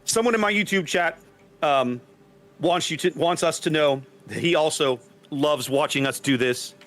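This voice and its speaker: A man from Texas with a very loud voice, co-casting a game tournament stream in casual chat. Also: on the high side, normal speed. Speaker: co-caster.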